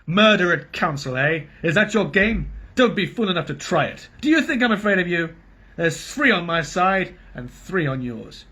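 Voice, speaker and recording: A man's voice: a little high, lively intonation, slow pace, hardly any pauses, bright, forceful tone, full character voice. A man voicing a bitter, scheming male dwarf who sneers and snaps. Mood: sneering. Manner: sneering, snapping.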